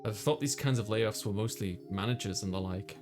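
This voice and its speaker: A man's voice: fairly low pitch, a little fast. A man streaming a visual novel, reading the story aloud and chatting casually. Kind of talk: read-aloud story narration with casual chat. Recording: clear music behind the voice.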